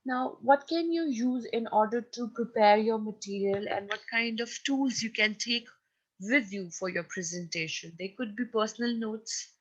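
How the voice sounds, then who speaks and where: A woman's voice: fairly high pitch, moderate pace, dull audio. Pakistani woman giving an emphatic lecture. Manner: instructive, emphatic, checks in with listeners. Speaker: teacher. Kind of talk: recorded lecture on presentation skills.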